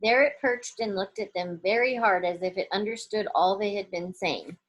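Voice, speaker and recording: A woman's voice: mid-range voice, moderate pace, poor audio. A woman reading a children's story aloud as a narrator. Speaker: storybook reader.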